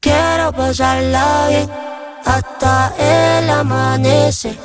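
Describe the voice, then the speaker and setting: Woman singing a pop vocal sample, high and slow, bit of music behind. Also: steady tone.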